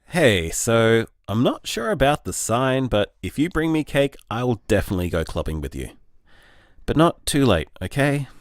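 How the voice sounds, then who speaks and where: A man playing a gentle, bashful, overthinking young boyfriend in a casual audio drama, mild and hesitant. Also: medium pitch, very animated, normal speed, no echo, close to an everyday voice. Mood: wry, playful. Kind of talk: audio drama voicemail monologue, casual and conversational.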